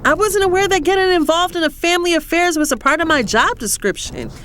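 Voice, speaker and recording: A woman's voice: very high-pitched, fast talker, a bit bright, a little hiss or hum. A woman playing a polite but defiant employee in an audio drama, speaking formally.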